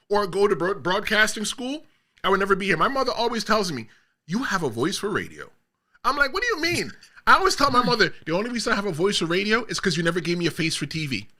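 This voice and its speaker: Podcast host, man, middle-aged, high voice with a very bright edge, rambling casual storyteller. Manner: rambling storyteller, laughs loudly.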